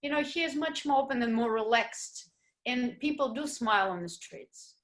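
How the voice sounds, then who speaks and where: A middle-aged woman, speaking in a slightly high voice. She tells her story as an interview guest in an animated way. She acts out what others said to her. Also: normal speed. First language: Russian. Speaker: guest, ballroom dancer. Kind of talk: casual interview chat.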